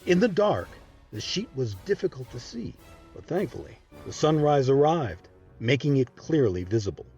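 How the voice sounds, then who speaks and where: Mellow, expressive male history narrator over music. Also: medium pitch, moderate pace, slightly rough, highs cut off. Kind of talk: scripted documentary narration. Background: background music.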